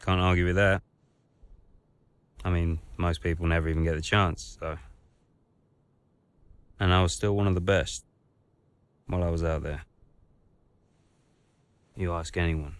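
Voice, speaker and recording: An actor playing a young racing driver, speaking in a subdued, halting way. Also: male voice, sits low, fairly even intonation, smooth, dry recording. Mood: defeated.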